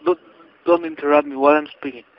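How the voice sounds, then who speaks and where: Male phone agent, slightly high voice, officious and stern, muffled lo-fi call audio. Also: steady tone, fast. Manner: stern, officious, stilted phrasing. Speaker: scam caller posing as tax agent. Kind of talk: scripted phone spiel. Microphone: telephone line. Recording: dull, lo-fi phone call recording.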